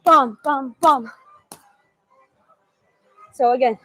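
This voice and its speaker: A woman's voice: high-pitched, drawn-out words, sharp tone, faint music behind. A young woman with a Georgian accent, chatting loosely on a live stream, answering viewer questions and talking through moves with restarts. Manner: chatty, loose, with sound-effect "bum"s and restarts. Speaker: martial arts streamer. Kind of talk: live-stream Q&A and technique demo.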